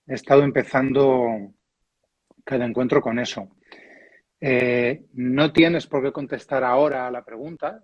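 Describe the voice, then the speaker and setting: A man hosting an online conversation over a video call, relaxed and friendly. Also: mid-range voice, breathy edge, lo-fi sound. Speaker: host.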